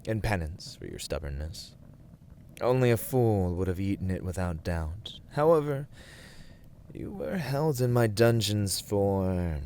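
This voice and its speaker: A man playing a smug young villain warlord in an ASMR roleplay, teasing and condescending in formal, deliberate phrases. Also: low-pitched, mellow, a little hiss or hum, exaggerated voice. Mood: smug, chiding. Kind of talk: ASMR roleplay, scripted dramatic monologue.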